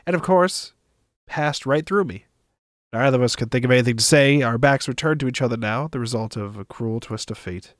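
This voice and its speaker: A man's voice: mid-range voice, a little fast, no echo, clean studio sound. A man streaming, reading a visual novel aloud expressively with casual asides.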